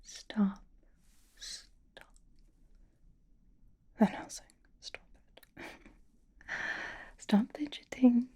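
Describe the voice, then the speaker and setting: Female vampire played by a woman, ASMR roleplay. Very breathy whisper, unhurried, teasing, possessive, quietly commanding. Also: a little high, heavily acted. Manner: slow, coy, intimate, commanding.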